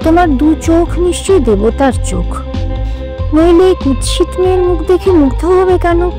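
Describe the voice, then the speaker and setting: A woman's voice: very high voice, moderate pace, over music, voice pushed up. A woman voicing an eager, smitten young woman in a storybook reading, with emotional pleading. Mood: adoring. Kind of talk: acted dialogue in a storybook reading.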